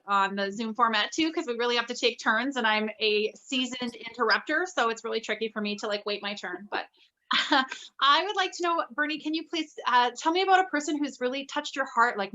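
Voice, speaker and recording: A woman's voice: fairly high pitch, pushed and bright. A woman co-hosting in casual chat, recorded over a dull-sounding video call. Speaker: co-host.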